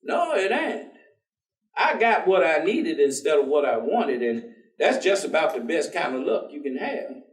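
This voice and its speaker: A man's voice: a little high, sing-song, measured pace. An actor playing an earnest, persistent, folksy working man in a staged dramatic reading, speaking colloquially. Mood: wry. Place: theatre stage. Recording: echoey theatre, distant microphone.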